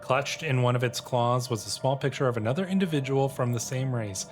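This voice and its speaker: A man's voice: mid-range voice, a little fast. A man giving casual gameplay commentary, thinking aloud tentatively and sometimes reading game text out. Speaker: video game streamer and host. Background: game music.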